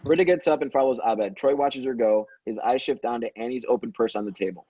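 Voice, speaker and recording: A man narrating stage directions at a table read, in dull low-fidelity sound. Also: fast, dark tone, slightly rough. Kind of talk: reading screenplay directions aloud.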